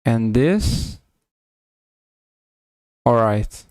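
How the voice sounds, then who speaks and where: A man with a Serbian accent giving live chess commentary on a stream, halting and often rephrasing himself. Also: medium pitch, sing-song, a little mellow, dry recording, studio quality.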